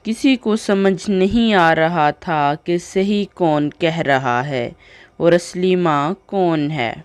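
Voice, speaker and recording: A woman narrating a cartoon story in a slow, even storytelling way. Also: fairly low pitch, clear, resonant tone, dry recording.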